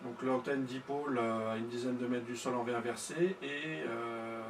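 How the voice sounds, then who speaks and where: A man talks casually as a ham radio operator, working through technical details with some hesitation. His voice sits at an ordinary male pitch. The sound is noticeably roomy, with a faint layer of noise behind it. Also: steady tone, moderate pace. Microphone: studio-style mic through tube preamp.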